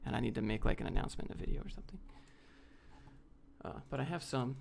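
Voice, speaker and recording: Male streamer, from the US, mid-pitched, heavy vocal fry, blunt, slangy, unscripted Q&A chat. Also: lively intonation, fast, darkish tone. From United States. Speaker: livestream host answering viewer questions. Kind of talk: casual off-the-cuff Q&A chat, reading questions aloud.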